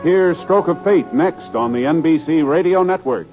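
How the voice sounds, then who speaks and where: Formal American radio announcer, vintage male voice. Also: fairly high pitch. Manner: measured, formal delivery. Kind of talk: 1950s scripted radio announcing. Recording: dull old radio recording, music behind.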